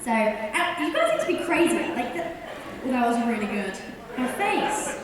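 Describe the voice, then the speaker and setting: A woman's voice: a little high, very animated, normal speed, a crowd in the background. A woman moderating a live question session, upbeat and leading the talk in a large hall. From born in Iran. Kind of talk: hosting a live Q&A. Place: large hall before an audience. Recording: echoey hall, distant mic, background noise.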